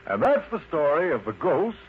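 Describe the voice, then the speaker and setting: A man narrating a children's story in an expressive, unhurried manner, addressing the listener directly. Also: a little high, loud music behind the voice. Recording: muffled lo-fi recording with loud noise.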